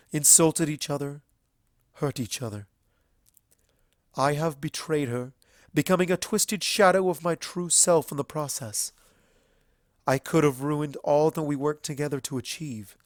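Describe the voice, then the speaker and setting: A man voicing a kind, earnest female alicorn pony princess in a storybook reading, speaking in a solemn, measured way. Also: a little high, dry recording, clean studio sound, pitched above the performer's own voice, close to an everyday voice. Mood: grave, trusting. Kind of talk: fan fiction storybook reading.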